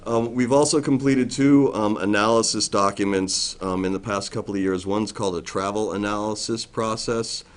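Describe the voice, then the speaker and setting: A middle-aged man with a very deep, booming voice gives an informal briefing as a forest ranger in a town meeting room. He talks in a flat, even tone, with plenty of ums and restarts. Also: normal speed, few pauses. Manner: loud, plainspoken, with frequent ums and restarts.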